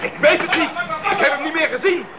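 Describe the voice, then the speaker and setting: An actor playing a practical, down-to-earth young member of a jungle expedition in a Dutch radio drama. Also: male voice, extremely high pitch, fast, a faint crowd. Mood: frantic shouting. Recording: dull, noisy old radio play recording.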